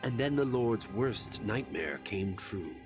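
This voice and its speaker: A solemn, wise storyteller narrates a fairy tale in a lowish voice. He takes his time with every phrase, grave and measured, over clearly audible music. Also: animated. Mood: solemn storytelling. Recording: music behind the voice.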